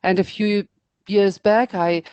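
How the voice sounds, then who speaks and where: A middle-aged woman with an Albanian accent, a native Albanian speaker, answering interview questions slowly with many pauses and fillers. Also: medium pitch, steady tone, a little mellow, smooth, no echo. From North Macedonia. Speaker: interpreter and business owner.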